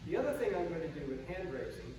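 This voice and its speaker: Man, pastor, soft-spoken, gentle sermon delivery, far from the mic, echoey church, audible hiss. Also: on the high side, big pitch swings, fast. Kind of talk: scripture reading and sermon. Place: large old church sanctuary.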